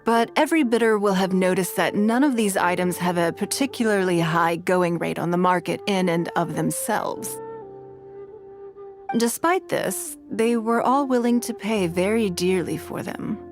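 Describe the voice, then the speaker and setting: A woman's voice: mid-range voice, animated, moderate pace, lightly acted. Game role: wealthy, knowing female auctioneer played by a woman, formal and unruffled, faint music underneath. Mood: composed, knowing. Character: poised, shrewd wealthy woman running an auction. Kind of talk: video game voice acting.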